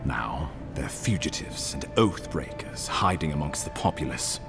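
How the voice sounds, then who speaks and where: Imperial official for a fantasy game: low, grave, authoritative, deliberate phrasing with wide inflection. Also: male voice, animated, gravelly, over music, exaggerated voice. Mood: grave, resolute. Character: grave, commanding imperial official briefing an envoy. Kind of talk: video game dialogue, formal and measured.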